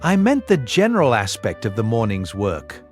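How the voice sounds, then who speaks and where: An actor playing an older police inspector in an audiobook drama, gruff and hearty, with a teasing fatherly manner. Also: fairly low pitch, huge pitch swings, normal speed, faint music behind. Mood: irritable. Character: genial, irritable old police inspector, father of grown son.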